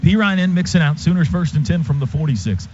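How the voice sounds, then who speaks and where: A man doing live radio play-by-play of a football game in clipped phrases that can turn excited. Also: on the high side, fast talker. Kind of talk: live American football commentary. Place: stadium radio booth. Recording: noisy, muffled stadium radio broadcast.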